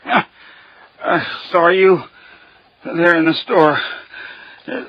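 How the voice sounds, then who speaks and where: A dying young man in an old radio drama, with a high, breathy voice that keeps breaking. He drags out a guilty confession in slow, halting fragments as his strength fades. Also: no echo, heavily acted. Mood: weak, guilty. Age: young adult. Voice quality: breathy, weak. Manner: halting, broken speech. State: gravely injured, fading. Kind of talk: classic radio drama acting. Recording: muffled old radio recording.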